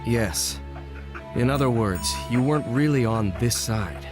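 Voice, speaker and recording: A man playing a stern, composed ninja father in an anime game cutscene, speaking in a measured, matter-of-fact way. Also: medium pitch, lively intonation, close to an everyday voice. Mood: grave, explanatory. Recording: background music under the dialogue.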